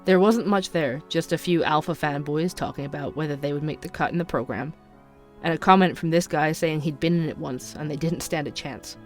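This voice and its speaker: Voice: medium pitch, brisk, faint music behind, close to an everyday voice. An actor playing a young, curious, skeptical night-shift barista who narrates an investigation in a musing, conversational way for an audio drama. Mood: investigative, musing.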